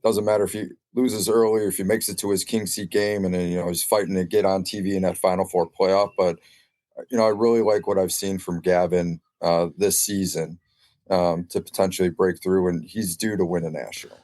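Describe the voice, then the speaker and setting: A man's voice: deep voice, little pitch movement, a little fast, warm tone, no echo. A man talking casually as a guest on a sports podcast, reflecting as a former competitor.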